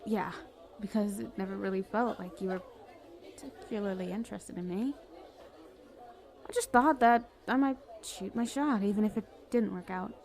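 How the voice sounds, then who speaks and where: A flirty villainess, acted by a woman in an ASMR roleplay, with a mid-pitched voice full of vocal fry. She is smug and cajoling, talking straight to the one she adores, and stumbles slightly as she pleads her case. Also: normal speed, a little mellow, natural voice. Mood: lovestruck, coaxing. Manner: teasing, cajoling, conversational with hesitant stammers. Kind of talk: ASMR roleplay, addressing the listener as love interest.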